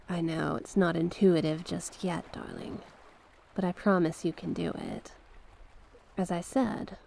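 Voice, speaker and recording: Woman acting mysterious sea witch, ASMR fantasy roleplay, unhurried delivery, crackly vocal fry, gentle, coaxing, encouraging mentor, formal storybook phrasing. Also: on the low side. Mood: tender, encouraging. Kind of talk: ASMR roleplay, slow scripted monologue.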